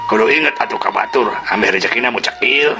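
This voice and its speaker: A man's voice: mid-range voice, fast talker, pitched above the performer's own voice, close to an everyday voice. A man voicing a scheming young village man who coaxes and insists alongside his friend. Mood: coaxing. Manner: insistent, coaxing. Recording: music bed under voice.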